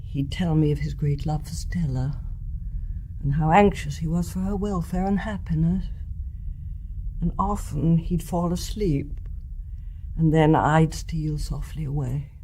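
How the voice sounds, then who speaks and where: An actress playing a grave, resolute older British mother in a radio drama, speaking in a measured, refined and deliberate way. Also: low-pitched, steady tone, dark tone, slight background noise, poor audio. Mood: grave, reflective. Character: grave, resolute older English mother confessing her deed.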